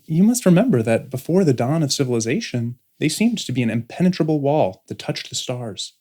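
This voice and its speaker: A woman's voice: very deep voice, very animated, a little fast, warm tone, exaggerated voice. Woman as deferential airship attendant, anxious to please. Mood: eager, explaining. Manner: deferential, explanatory. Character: anxiously polite airship attendant, a little rough and original. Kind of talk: audiobook full-cast drama acting.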